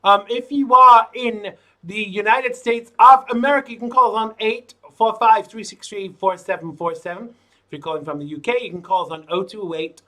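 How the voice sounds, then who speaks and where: A man's voice: moderate pace, bright, forceful tone, low-quality recording. A man hosting a live call-in show, chatting through news items with jokey asides. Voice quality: says his voice is low. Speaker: show host.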